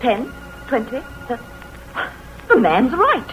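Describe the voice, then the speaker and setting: A woman's voice: on the high side, wildly swooping pitch, takes it slowly, a bit bright, faint music behind, heavily acted. A woman playing a flustered, indignant older society woman who protests shrilly, in a 1930s radio play. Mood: fluttery. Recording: dull old radio recording with hiss.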